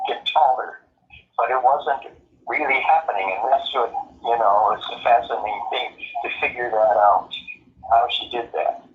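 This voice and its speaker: A man being interviewed as a writer, talking casually and reflectively in a halting, musing way. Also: medium pitch. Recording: muffled phone-like call, faint noise.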